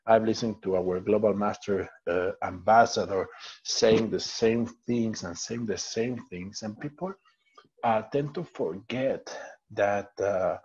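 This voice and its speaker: Hesitant Mexican-accented man, husky and low. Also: unhurried, a little mellow, highs cut off. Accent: Mexican Spanish. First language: Spanish. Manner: hesitant, many fillers and restarts. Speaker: network marketing trainer. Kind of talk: online training presentation.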